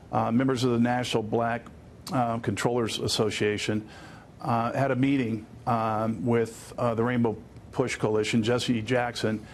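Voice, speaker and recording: A man in his fifties with an American accent, explaining as a guest in a live news interview, with frequent pauses. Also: mid-range voice, a little hiss or hum. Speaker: interview guest, aviation attorney.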